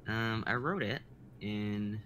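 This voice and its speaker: A man's voice: lowish voice, takes it slowly, fairly bright tone, slight background noise. A young man talking casually in slangy, informal speech on a group stream. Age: young adult. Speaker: writer and performer on a readers' stream.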